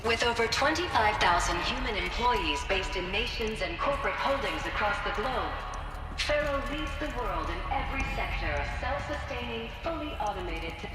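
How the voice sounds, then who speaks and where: An actress playing a proud, polished corporate promotional announcer in a game, slick in manner. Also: female voice, a little high, animated, moderate pace, natural voice. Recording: echoey hall, distant, music behind.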